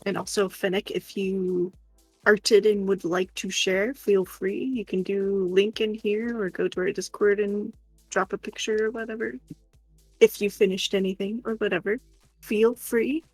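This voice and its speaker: A woman's voice: medium pitch, steady tone, unhurried, a little mellow, dry recording, faint music behind, poor audio. A woman co-hosting a stream in casual chat, affectionate and encouraging as she talks. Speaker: stream co-host helping with the chat.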